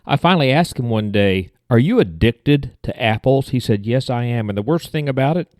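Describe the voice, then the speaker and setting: Male joke teller for a podcast segment. Average pitch, dry close recording. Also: lively intonation, moderate pace, a little mellow, no echo. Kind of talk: telling a scripted joke.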